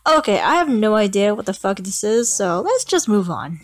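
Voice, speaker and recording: A woman's voice: medium pitch, big pitch swings, moderate pace, soft background music. A young woman talking casually in a vlog, hesitant, with frequent stutters and restarts. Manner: hesitant, stutters and repeats herself. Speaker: vlogger. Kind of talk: casual, unscripted vlog talk.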